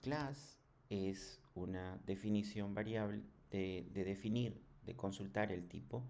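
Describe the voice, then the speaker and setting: Slow, mellow man teaching programming. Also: lowish voice, vocal fry. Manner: halting, didactic, with frequent check-in questions. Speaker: programming instructor. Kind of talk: screen tutorial lesson.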